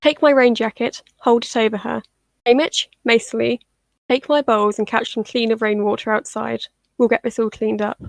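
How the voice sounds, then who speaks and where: A woman acts a brisk, firm teenage girl healer in an audio drama. The voice is lightly high with clear creak, commanding and matter-of-fact, in a very dry recording. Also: little pitch movement, no room sound.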